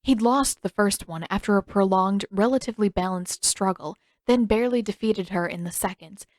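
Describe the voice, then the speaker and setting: A woman's voice: mid-range voice, moderate pace, a little mellow, no echo. A woman reading scripted fiction as an audiobook narrator.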